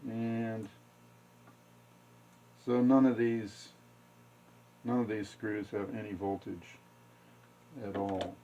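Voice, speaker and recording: Dull-sounding lo-fi recording of a halting man. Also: lowish voice, level tone, mellow, a little hiss or hum. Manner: halting, matter-of-fact, thinking aloud. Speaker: radio repair hobbyist demonstrating. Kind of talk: casual hands-on technical demonstration. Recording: dull lo-fi home video audio.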